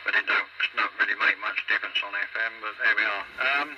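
A man's voice: fairly low pitch, fairly even intonation, quick pace. A British man chatting casually and technically over CB radio in a blunt, matter-of-fact way. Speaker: CB radio enthusiast. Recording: muffled CB radio transmission with heavy static.

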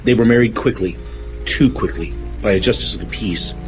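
An actor playing an earnest, troubled gentleman telling his story haltingly and properly in a radio drama. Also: fairly low pitch, soft background music, close to an everyday voice. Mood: brooding. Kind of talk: dramatic radio play narration.

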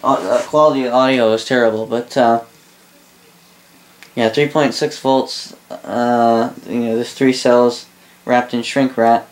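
A man reviews a product off the cuff at a middling pitch that barely moves. His delivery is rambling and halting, with sentences restarted and corrected as he goes. It sounds like a casual home video recorded indoors. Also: level tone, rough recording. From Canada. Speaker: host of a radio gear review channel. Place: indoor room full of radio gear.

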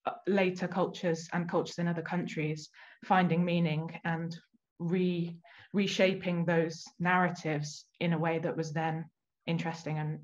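A woman's voice: sits low, a little mellow. A young woman author answering a panel question on a video call, reflective and searching for words. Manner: reflective, with fillers and restarts. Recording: muffled video call audio.

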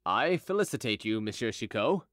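A man's voice: on the low side, sing-song, normal speed, a bit bright, no room sound, clean studio sound, pitched below the performer's own voice. A man voicing a young patrol officer, grave, formal and polite, in an audiobook. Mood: gallant, yielding. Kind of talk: audiobook character dialogue.

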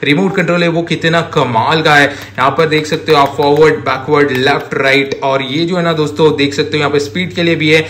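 A man's voice: fairly high pitch, fairly even intonation, fairly quick, few pauses, fairly bright tone. A man enthusiastically showcasing products to viewers, gushing and addressing them as friends. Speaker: host of a toy showcase channel. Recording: roomy echo, audible background noise.

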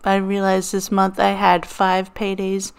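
A woman narrating a planner voiceover in a relaxed, meandering way, full of ums and little laughs. Her voice is smooth and clear, with a touch of morning crackle, at an ordinary middle pitch. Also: unhurried. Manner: chatty, rambling with frequent ums and laughs. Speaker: planner vlogger. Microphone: voiceover over overhead desk footage.

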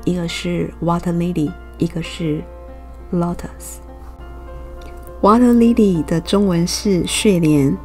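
This is a young woman from Taiwan, speaking as she walks viewers through her watercolor process. Her delivery is unhurried and soft, her voice set slightly low, with faint music playing beneath the narration. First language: Mandarin. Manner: gentle, unhurried explaining. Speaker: watercolor art channel host. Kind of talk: calm voice-over narration for a painting tutorial.

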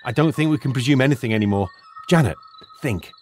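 An actor playing a middle-aged teacher, once an archaeologist, who leads his students with a steady, commanding voice. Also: medium pitch, fast talker, faint music behind, lightly acted. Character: teacher Mr. Clark, retired archaeologist, calm leader. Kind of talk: audio drama acting.

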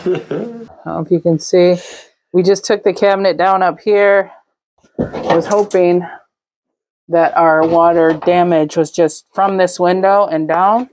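A young woman casually narrating a walkthrough of a camper she is fixing up, relaxed and chatty with frequent ums. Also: lowish voice, slight background noise. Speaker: vlog host. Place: inside a gutted camper trailer.

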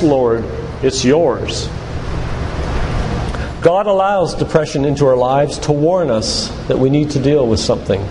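An older man giving a sermon-like lecture in a church, speaking in an earnest, deliberate, emphatic way with repetition. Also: fairly high pitch. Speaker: doctor and guest church speaker. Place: church, live talk. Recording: echoey hall with loud background noise.

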